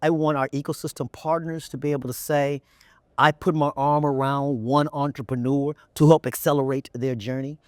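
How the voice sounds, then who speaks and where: A man's voice: mid-range voice, steady tone, moderate pace, a little mellow, dry recording, clean studio sound. A middle-aged man from Texas with an American accent answers conversationally as an interview guest, repeating phrases for emphasis. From Texas, United States. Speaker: chief executive, interview guest. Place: indoor event interview set. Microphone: lapel mic.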